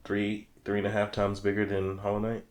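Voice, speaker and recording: A man's voice: on the low side, completely flat delivery, fairly quick. A man co-hosting a show, chatting casually about video games. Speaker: co-host of a video game talk show. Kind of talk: casual chat.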